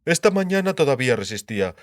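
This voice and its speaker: A man voicing an assured, sharp-minded king in an audiobook, lecturing on war in declarative phrases and rhetorical questions. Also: medium pitch, very animated, fast, no room sound, studio quality, lightly acted. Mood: grave, emphatic. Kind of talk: dramatised audiobook dialogue in Spanish.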